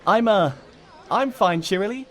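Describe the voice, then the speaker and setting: A man's voice: high voice, expressive, measured pace, fairly bright tone, pitched above the performer's own voice. A man voicing a flustered, indignant young female cartoon pony who is easily exasperated. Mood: flustered, evasive. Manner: stammering and muttering, snapping back at a narrator.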